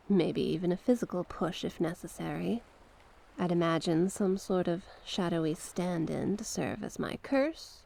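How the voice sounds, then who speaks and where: Woman acting mysterious sea witch, ASMR fantasy roleplay, unhurried delivery, crackly vocal fry, gentle, coaxing, encouraging mentor, formal storybook phrasing. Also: fairly low pitch. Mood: tender, encouraging. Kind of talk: ASMR roleplay, slow scripted monologue.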